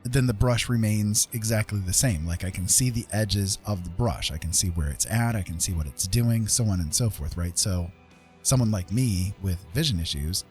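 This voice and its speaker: Low-voiced middle-aged man with hesitant delivery. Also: normal speed, a little mellow, faint music behind. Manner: self-deprecating, hesitant, informal. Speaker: livestreaming digital artist. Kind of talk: casual livestream tutorial chat.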